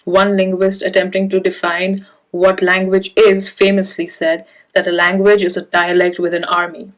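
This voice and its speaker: A young woman from India, raised on Punjabi at home, giving a prepared conference talk in a reflective, measured, storytelling way. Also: on the low side, completely flat delivery. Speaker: conference speaker. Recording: echoey room.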